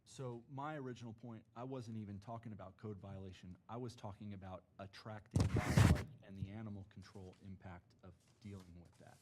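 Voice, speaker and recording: A man, a lifelong local, speaking at a city planning meeting in an earnest, halting way full of restarts. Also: lowish voice, fairly even intonation, very noisy. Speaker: board member. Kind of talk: public meeting discussion. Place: meeting chamber.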